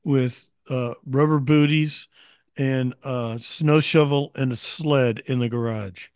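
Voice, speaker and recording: A man's voice: mid-range voice, fairly even intonation, slow, a little mellow, clear, resonant tone, no echo, studio quality. A man from the American Midwest and Texas, chatting casually in short conversational remarks. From Iowa and Texas, United States. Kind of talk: casual chat.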